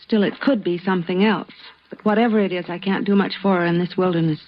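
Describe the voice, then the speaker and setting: A woman's voice: lowish voice, steady tone, quick pace, natural voice. An actress playing a determined frontier woman doctor in an old-time radio western, plain-spoken and earnest. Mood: grave, composed. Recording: dull, slightly noisy old radio recording.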